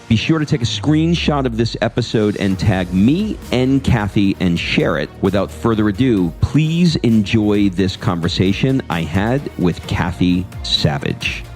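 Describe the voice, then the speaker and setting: A man's voice: lowish voice, a little mellow. A man hosting an interview podcast, speaking in an upbeat presenter style. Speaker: podcast host. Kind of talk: upbeat scripted introduction and interview.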